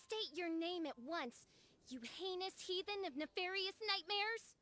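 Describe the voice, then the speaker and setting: A woman's voice: very high voice, lively intonation, unhurried, bright, forceful tone, heavily acted. A woman playing a theatrical, gloomy young princess who speaks in florid, pompous, archaic phrases in a video game. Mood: indignant.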